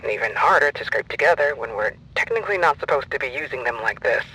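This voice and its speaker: An actor playing a brisk field organizer in an audio drama, dry and matter-of-fact, with a husky voice over a radio link. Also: male voice, mid-range voice, expressive, bright, forceful tone, poor audio. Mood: matter-of-fact, wry. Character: brisk male field organizer planning a covert operation. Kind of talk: naturalistic audio drama dialogue.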